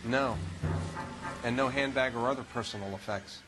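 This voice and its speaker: An actor playing a dry, matter-of-fact medical examiner at a crime scene in a video game. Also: male voice, medium pitch, normal speed, a bit bright, faint music behind, close to an everyday voice, low-quality recording. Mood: clinical, dry. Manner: clinical, dry. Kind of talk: video game cutscene acting.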